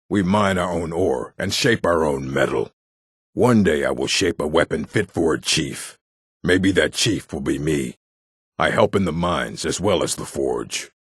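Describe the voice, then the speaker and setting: An actor voicing a stern, proud orc stronghold warrior in a video game, gravelly and husky, blunt and commanding. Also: male voice, sits low, fairly bright tone, no echo, studio quality, heavily acted. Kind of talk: video game character voice acting.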